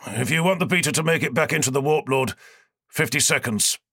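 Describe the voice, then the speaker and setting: A man's voice: mid-range voice, brisk, sharp tone, breathy edge, no echo, clean studio sound, voice pushed up. A man voicing a blunt, loyal male starship captain who reports grimly to his lord. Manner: grim, plain-spoken.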